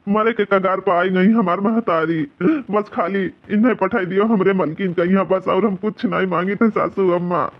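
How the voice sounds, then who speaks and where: Guy doing a high voiced awadhi village husband in a cartoon, begging and sorry, dramatic, kinda muffled lo-fi sound. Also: fairly even intonation, moderate pace, few pauses. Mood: pleading, apologetic. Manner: pleading, repetitive, melodramatic. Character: anxious, humbled village son-in-law begging forgiveness. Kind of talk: Awadhi family comedy cartoon dialogue.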